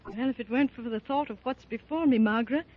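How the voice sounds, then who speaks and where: An actress playing a frightened but wry young woman held captive in a 1930s radio serial, with a breathy voice. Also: fairly high pitch, fast talker, natural voice. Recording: dull old radio recording.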